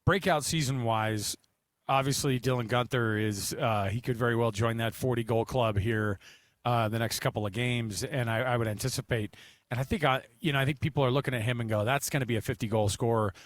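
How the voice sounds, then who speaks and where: A man's voice: medium pitch, fairly even intonation, a little fast, no echo. A man hosting a sports talk show, chatting casually in a rambling, emphatic way.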